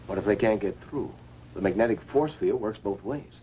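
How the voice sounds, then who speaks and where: An actor playing a wary, uneasy research team member in a 1950s science-fiction film, speaking in a terse, serious way. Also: male voice, lowish voice, normal speed, highs cut off, a little hiss or hum, close to an everyday voice. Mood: wary, tense. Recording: 1950s film soundtrack under music.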